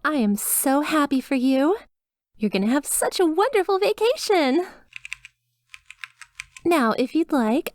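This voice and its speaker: A woman's voice: fairly high pitch, a little mellow, no echo, clean studio sound, close to an everyday voice. A woman voicing a chipper, sweetly reassuring demon resort receptionist with a polished, over-polite customer service voice in a scripted ASMR roleplay. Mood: delighted, eager.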